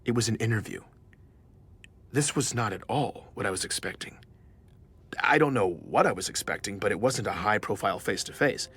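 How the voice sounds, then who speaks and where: A man's voice: on the low side, expressive, fairly quick, fairly bright tone, slightly rough, full character voice. An actor playing an earnest, easily awed male journalist who narrates his story in a scripted audio drama. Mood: relieved, awed.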